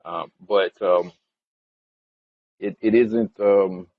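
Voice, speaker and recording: Man, very deep voice, halting plain-spoken analyst commentary, informal presenter, muffled lo-fi recording. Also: steady tone. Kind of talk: informal explanatory commentary over earnings slides. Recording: dull, lo-fi voice recording.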